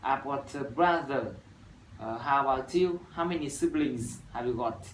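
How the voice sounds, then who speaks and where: A Vietnamese man in his early twenties speaks in steady, rehearsed English with a Vietnamese accent and the odd "uh". He is recorded from a distance in a roomy, echoing space, with faint noise behind him. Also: mid-range voice. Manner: measured, rehearsed delivery with "uh" fillers. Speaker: university student. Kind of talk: scripted classroom dialogue practice. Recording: echoey room from far off, faint noise.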